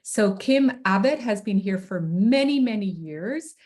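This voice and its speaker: A middle-aged woman walks parents through slides on a video call, talking in an easy, informal way and pausing on ums. Her pitch sits in the middle of the range for a woman. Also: animated, darkish tone. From Ireland. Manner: friendly, informal presenting with frequent ums. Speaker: special education administrator. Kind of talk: presenting slides at an online parent meeting.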